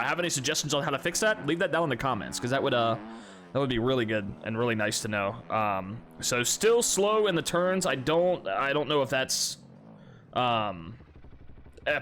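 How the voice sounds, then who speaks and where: A man's voice: medium pitch, fairly quick, slight background noise. A young man casually commenting on his own game replays, congested and sniffling, rambling with lots of fillers and restarts. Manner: rambling, many fillers and restarts, relaxed swearing. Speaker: gaming youtuber.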